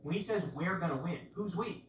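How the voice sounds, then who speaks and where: A man's voice: high voice, brisk. A man giving casual political commentary to viewers, insistently repeating rhetorical questions. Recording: roomy, distant sound with faint noise.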